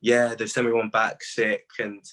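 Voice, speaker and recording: A man's voice: lowish voice, little pitch movement, a little slow, a bit bright. A young man with a British accent, chatting casually as a musician being interviewed, laid-back and full of slang.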